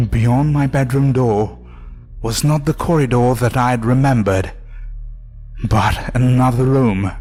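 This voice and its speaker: A man's voice: mid-range voice, darkish tone. A man giving a dramatic first-person narration of a scripted horror story. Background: faint music.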